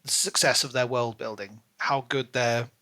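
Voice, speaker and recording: A man's voice: mid-range voice, steady tone, normal speed, sharp tone. A man co-hosting a podcast, talking casually and enthusiastically about books with emphatic delivery. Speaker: podcast co-host. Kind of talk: casual book discussion chat.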